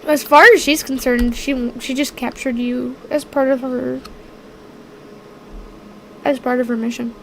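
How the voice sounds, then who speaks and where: A woman with a high voice narrates as game master for a casual tabletop session. She hesitates and hunts for the right words as she goes, laughing easily. A faint hiss sits under the recording. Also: level tone, a little slow, creaky, pauses often, a bit bright, low-quality recording.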